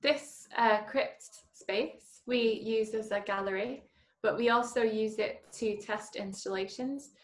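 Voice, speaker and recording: A woman's voice: fairly high pitch, takes it slowly. A woman from Manchester, an artist explaining her gallery's exhibitions on a video call, with some fillers. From Manchester, England.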